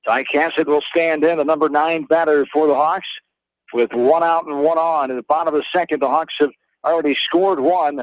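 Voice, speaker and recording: American male radio play-by-play caller for live baseball, slightly high voice, terse phrasing, dry sound. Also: steady tone, fairly quick, no room sound, low-quality recording.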